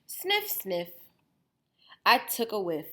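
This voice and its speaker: A woman reads a storybook aloud in a mid-pitched, very animated voice. She takes her time like a teacher, breaking off for brief asides. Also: takes it slowly.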